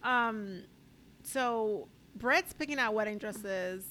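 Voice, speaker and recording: A woman's voice: mid-range voice, slow pace, sharp tone, a little hiss or hum. A woman co-hosting a casual chat show, giving candid opinions in an informal, slangy way. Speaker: co-host of a reality TV recap show.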